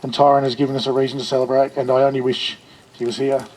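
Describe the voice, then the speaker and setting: A man giving a funeral eulogy for his childhood friend, earnest and halting, with frequent restarts. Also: mid-range voice, little pitch movement, a little mellow, rough recording.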